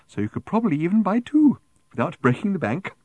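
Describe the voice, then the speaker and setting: A man voicing a prim, genteel middle-aged woman selling raffle tickets in a storybook, speaking slowly with a cloying, sighing sweetness. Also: sits high, sing-song, mellow, dry recording, pitched above the performer's own voice. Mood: cloyingly sweet.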